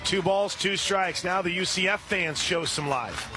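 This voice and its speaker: Man calling softball on the radio. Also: high voice, unhurried, a crowd in the background, noisy background. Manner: steady play-by-play with brief asides, rising when excited. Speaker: radio play-by-play announcer. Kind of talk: radio sports commentary.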